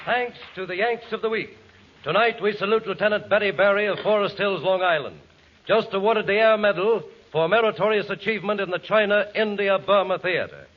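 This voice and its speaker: A man's voice: sits high, a little fast, a little hiss or hum. A man reading a formal scripted tribute as a 1940s radio announcer. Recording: dull 1940s radio recording.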